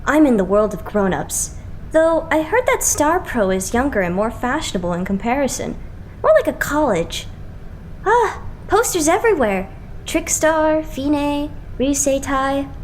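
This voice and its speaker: A woman's voice: high-pitched, very animated, normal speed, a little hiss or hum. A woman voicing an eager, chatty teenage boy idol in an anime-style fan dub, earnest and easily starstruck. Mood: musing, nervous.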